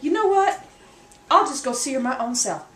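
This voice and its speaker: A woman's voice: sits high, animated, moderate pace, a bit bright. A woman voicing an exasperated mother scolding her foolish son in folksy dialect, in an echoey room. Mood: stern warning. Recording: echoey room, faint background noise.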